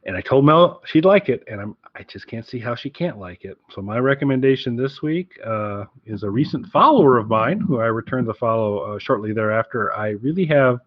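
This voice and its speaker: A man's voice: mid-range voice, lively intonation, a little fast, few pauses, no room sound. A man co-hosting a podcast in casual chat, rambling and correcting himself as he goes. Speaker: podcast co-host. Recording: dull, lo-fi close dry audio.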